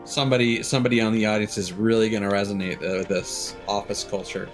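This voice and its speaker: A man's voice: on the low side, steady tone, fast, over music. A man doing casual, wry game commentary as he streams, muttering asides to himself.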